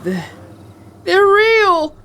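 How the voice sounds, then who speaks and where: A man's voice: very high-pitched, wildly swooping pitch, drawn-out words, sharp tone, voice pushed up, full character voice. A man voicing a timid, panicky young male fraternity pledge in an audio horror drama, sounding strained and anxious. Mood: panicked.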